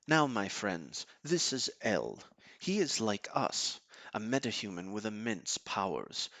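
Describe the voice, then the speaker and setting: A man acts as a fatherly commander in a superhero audio drama. His voice is fairly low and closely recorded, with no room sound. He explains things in a measured, authoritative way. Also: a bit bright, no echo, heavily acted. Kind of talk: amateur audio drama acting.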